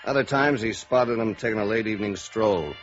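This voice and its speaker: Man playing terse, low-voiced radio police sergeant. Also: brisk, close to an everyday voice. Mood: businesslike, dry. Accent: American. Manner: clipped, deadpan. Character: terse, guarded, dry middle-aged male police sergeant. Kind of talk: 1950s radio noir acting. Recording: dull vintage radio recording, faint music.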